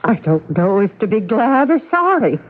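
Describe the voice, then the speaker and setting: Gentle, fretful mother, tinny recording. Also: medium pitch, sing-song, normal speed. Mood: worried. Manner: fond, coaxing, hesitant. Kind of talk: old-time radio drama acting. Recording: narrow muffled vintage radio recording.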